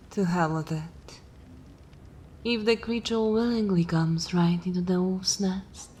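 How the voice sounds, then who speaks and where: Slow, haughty ancient vampire mistress, acted by a woman. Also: fairly low pitch, steady tone, a little mellow, slight background noise, lightly acted. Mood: amused, weary. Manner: languid, theatrical, disdainful, lapsing into whispers. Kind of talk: ASMR dark fantasy roleplay.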